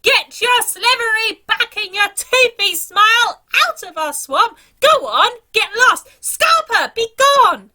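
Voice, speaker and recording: A woman's voice: very high voice, lively intonation, takes it slowly, hardly any pauses, pushed and bright, voice pushed up, full character voice. A woman voicing a sad little male flamingo from a children's storybook, mopey yet brave, with emphatic delivery. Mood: bold shouting.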